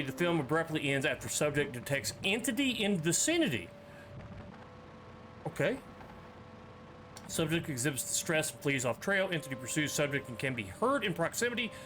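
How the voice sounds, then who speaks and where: A man's voice: a little high, noisy background. A man giving casual commentary as he plays a horror game, reading screen text aloud with joking, offhand asides. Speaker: streamer playing a horror game.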